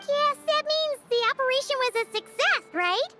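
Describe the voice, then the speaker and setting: A woman voicing a tiny, cheerful, excitable fairy-like girl companion in a video game, with a squeaky, chirpy, childlike voice. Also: full character voice. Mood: eager. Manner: chirpy, expressive. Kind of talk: video game character dialogue. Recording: loud game music behind.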